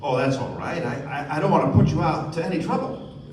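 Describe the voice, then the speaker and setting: Male actor as eager nervous gentleman, high voice, hesitant stammer, radio drama, distant stage recording. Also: brisk, noisy background, lightly acted. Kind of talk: radio play acting. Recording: distant, echoey live theatre stage.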